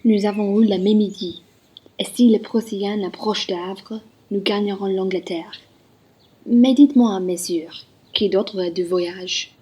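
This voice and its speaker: A woman in a student radio play, playing a courteous, formal aristocratic gentleman. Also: mid-range voice, fairly even intonation, measured pace, breathy edge. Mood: concerned. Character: courteous aristocratic count, a man fleeing war.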